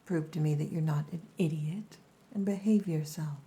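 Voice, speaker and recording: Orc wife for ASMR roleplay, played by a woman: low, mellow, breathy near-whisper, strict and possessive caretaker. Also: moderate pace, natural voice. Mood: strict, grudging care.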